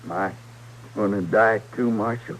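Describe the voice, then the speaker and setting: A man plays a childlike, simple young outlaw in a vintage radio Western. He talks in a lowish, plaintive drawl of the American West, haltingly and trustingly, through a noisy old recording. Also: lightly acted. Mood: wounded, childlike. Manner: slow, halting, plaintive rural speech. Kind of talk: old-time Western radio drama acting.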